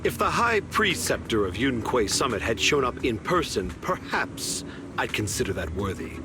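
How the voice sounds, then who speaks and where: A man's voice: medium pitch, very animated, over music. A man voicing a condescending, pompous major general in a video game, commanding and slyly sarcastic. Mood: sardonic, cold. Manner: pompous, deliberate, veiled sarcasm. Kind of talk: scripted video game voice acting.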